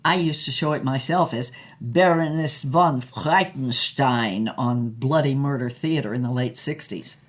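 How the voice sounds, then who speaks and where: An actress plays an older woman, a former movie star with a worldly, frank air. She spins her memories like a practised raconteur in an audio drama. Her delivery is theatrical and deliberate, pausing for effect. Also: extremely low pitch. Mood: wry, reminiscing. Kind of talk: dramatised interview, storytelling monologue.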